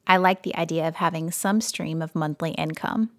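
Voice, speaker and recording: A woman co-hosting a personal finance podcast, reading a listener's letter aloud in a steady, measured way. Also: low voice, no echo. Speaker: podcast co-host.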